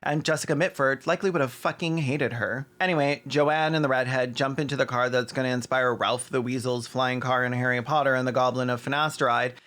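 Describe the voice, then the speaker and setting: A man's voice: medium pitch, steady tone, fairly quick, few pauses, fairly bright tone, slight background noise. A man hosting a video essay with snarky, mocking, sometimes sweary scripted commentary and asides.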